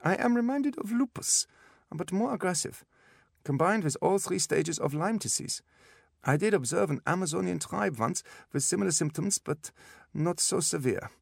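A pompous German specialist, pitched up and clipped, dismissing relatives in a storybook sickroom corridor. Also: male voice, lively intonation, moderate pace, creaky, no echo, clean studio sound, full character voice. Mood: cold, grave. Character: sharp, impatient, haughty German male doctor.

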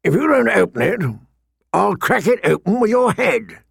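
Brusque, commanding, dangerous knight in a fantasy audiobook, acted by a man, raised voice, gravelly edge. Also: on the high side, sing-song, moderate pace, a bit bright, no echo, studio quality, heavily acted. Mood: brusque, threatening. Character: gruff, menacing male knight with big hard hands.

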